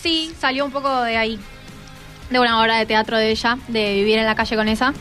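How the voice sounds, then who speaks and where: A woman's voice: on the high side, fairly even intonation, fairly quick, fairly bright tone, soft background music. A teenage female student with an Argentine accent, casually explaining as a radio guest. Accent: Argentine, Rioplatense. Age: teens.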